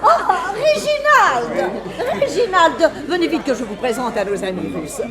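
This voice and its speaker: A woman's voice: high-pitched, very animated, unhurried. A woman acting a gushing, flirtatious society hostess in a French radio play, effusive and worldly. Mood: effusive, amused. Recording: music and party crowd behind.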